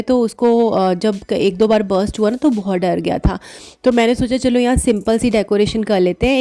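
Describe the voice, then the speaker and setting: A woman's voice: medium pitch, warm tone. A woman vlogger with a sore throat, narrating her family vlog in a chatty, homely voice-over. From Punjab.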